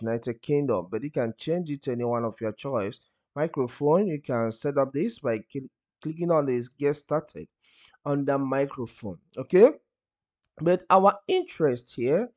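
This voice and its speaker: A man presenting a step-by-step software tutorial, speaking in short instructional phrases with emphatic openings. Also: medium pitch.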